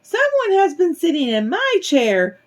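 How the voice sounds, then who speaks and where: Woman acting little boy bear cub, storybook, high, squeaky, tiny voice, plaintive, upset. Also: wildly swooping pitch, a little slow, heavily acted. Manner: plaintive, childlike crying out.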